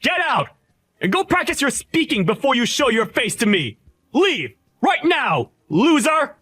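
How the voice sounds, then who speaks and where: A man voicing an arrogant, contemptuous young male boss who yells insults in a shrill, sneering voice, recorded dry and close for a voiced story. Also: wildly swooping pitch, moderate pace, no room sound, exaggerated voice. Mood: furious. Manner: yelling insults.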